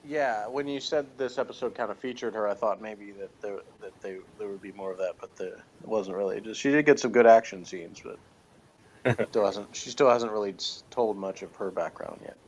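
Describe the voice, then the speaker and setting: A man's voice: fairly low pitch, brisk, a little hiss or hum. A man giving his opinions in a casual review chat, informal and hesitant, often interrupting himself, on a lo-fi recording. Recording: dull, lo-fi voice recording.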